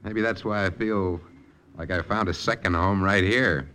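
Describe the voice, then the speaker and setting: A man's voice: sits low, steady tone, normal speed, close to an everyday voice. A man playing an affable, courteous American corporal with an American accent in an old radio drama. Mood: affable, apologetic. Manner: courteous, ingratiating. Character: affable, polite young male American corporal. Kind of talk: 1940s-50s radio drama acting. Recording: noisy old radio recording.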